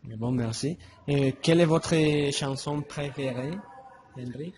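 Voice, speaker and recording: A man asking interview questions in slow, formal phrasing. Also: medium pitch, darkish tone, a little hiss or hum. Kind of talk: reading prepared interview questions.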